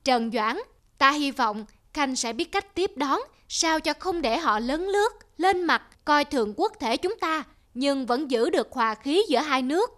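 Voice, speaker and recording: A woman's voice: high voice, expressive, a little slow, fairly bright tone. A woman voicing a dignified, commanding king in a cartoon folktale. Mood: solemn.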